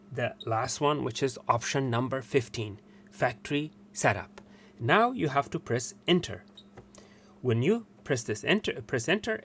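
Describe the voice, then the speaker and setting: A man's voice: medium pitch, a little hiss or hum. A man presenting a step-by-step technical tutorial in an instructional way, repeating steps for emphasis.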